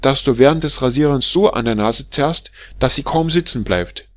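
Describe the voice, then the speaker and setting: A man voicing a shrill, furious middle-aged barber's wife who scolds and hurls insults at her husband in a storybook reading. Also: normal speed, dry recording, lightly acted. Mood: furious scolding.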